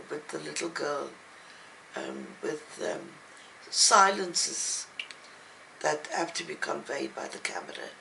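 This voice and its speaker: An older woman speaking as an interviewed writer, reflective and halting, with thoughtful pauses. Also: low-pitched, vocal fry, bright, forceful tone, a little hiss or hum, poor audio. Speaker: novelist and playwright being interviewed. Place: at home, indoors.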